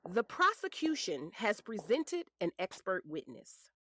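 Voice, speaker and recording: A woman's voice: mid-range voice, very animated, measured pace, pushed and bright, heavily acted. A woman playing a polished defense attorney in a courtroom drama, formal, methodical and pointed. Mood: pointed, cutting. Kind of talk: audio drama acting.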